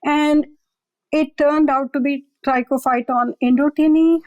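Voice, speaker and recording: A woman, a lab director and guest on an interview podcast, explaining her scientific work in a measured, conversational way. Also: high voice, steady tone, a little mellow, no room sound, lo-fi sound. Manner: measured, explanatory, occasional fillers.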